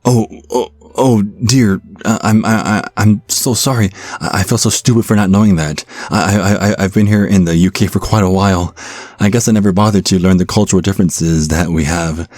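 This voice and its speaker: A low-pitched actor as an awkward young American guy in an audio drama. He is apologetic and trips over his words, recorded close and dry. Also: fairly even intonation, moderate pace, a little mellow, no room sound. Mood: flustered, apologetic. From United States. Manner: stammering, apologetic. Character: awkward, flustered young American man on a date. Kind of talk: audio roleplay acting and first-person narration.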